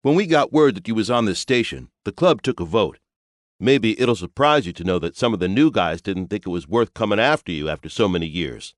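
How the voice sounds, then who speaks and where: A man voicing a big, gruff older male space biker with street slang in an audiobook. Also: on the low side, animated, a little fast, dry recording, clean studio sound, voice pushed up, lightly acted. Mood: grudging.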